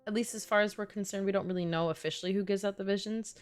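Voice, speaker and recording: A woman's voice: mid-range voice, fairly even intonation, very fast, clear, resonant tone, soft background music. A woman with a New York accent giving casual livestream game commentary, thinking aloud in loose, chatty phrasing. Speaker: streamer.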